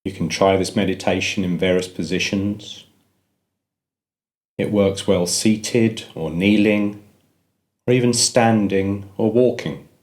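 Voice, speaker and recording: Male meditation guide, low-pitched voice, gentle measured delivery with pauses. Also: fairly even intonation.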